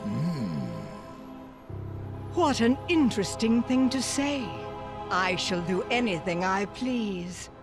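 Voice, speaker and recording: Imperious, slow-talking evil sorceress. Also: female voice, mid-range voice, big pitch swings, over music. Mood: haughty menace. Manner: haughty, drawn-out, theatrical delivery. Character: imperious, scheming evil sorceress, sly and grandiose. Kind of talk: video game voice acting.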